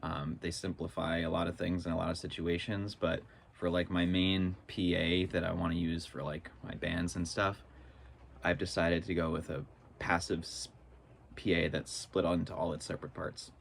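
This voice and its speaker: A man with a very low voice that crackles with vocal fry speaks casually to his viewers. He explains in an informal vlogger's way, thinking out loud in loosely strung phrases. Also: level tone, normal speed. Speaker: vlogger on live sound gear.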